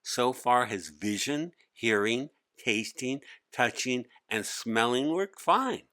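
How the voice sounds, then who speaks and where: A man reads a children's story as its narrator. His mid-pitched voice is bright and forceful, and he takes the scripted lines at a slow, measured pace. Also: lively intonation, no echo, studio quality. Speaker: author-narrator.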